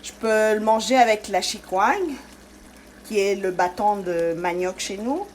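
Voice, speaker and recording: Brief: woman cooking-channel host from Congo-Kinshasa, mid pitch, casual step-by-step chat, faint kitchen noise. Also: a little slow. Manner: chatty, step-by-step, many fillers.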